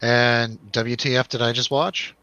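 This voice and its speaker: A man with a bright, forceful voice hosts a casual movie review. He talks in a joking, irreverent way at a middle pitch. The sound is close and dry, slightly lo-fi. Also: fairly even intonation, a little slow, no room sound. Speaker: host of a movie review show.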